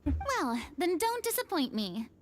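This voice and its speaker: A woman's voice: very high-pitched, very animated, a little slow, slight background noise, heavily acted. An actress playing a haughty, theatrical young female stage celebrity in a video game, with a dramatic, proud delivery. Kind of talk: English video game voice acting. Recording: music behind the voice.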